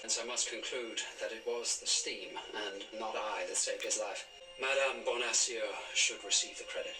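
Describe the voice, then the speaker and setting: An actor playing a formal court physician in a period television drama, heard as distant TV playback. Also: male voice, on the low side, fairly even intonation, normal speed, close to an everyday voice. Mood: formal, measured. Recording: distant, echoey TV playback with music.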